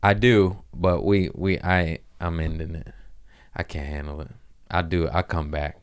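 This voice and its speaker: Low-voiced man chatting casually on a podcast. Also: flat delivery, a little slow, clear, resonant tone. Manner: slangy, swearing. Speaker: podcast host. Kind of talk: casual comedic chat.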